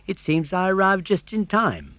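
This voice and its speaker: Man playing a friendly big dog, raised lively pitch. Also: moderate pace, no echo, heavily acted. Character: large grateful male dog, friendly and frank. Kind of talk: audiobook character voice.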